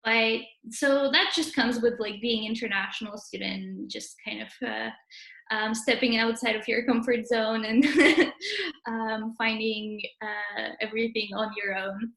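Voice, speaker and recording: A young woman in her twenties who grew up in Russia and speaks Russian natively gives casual interview answers. Her voice sits a little high for a woman. The recording is noticeably roomy and echoey. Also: fairly even intonation, unhurried, gravelly, fairly bright tone. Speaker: interviewee, university student.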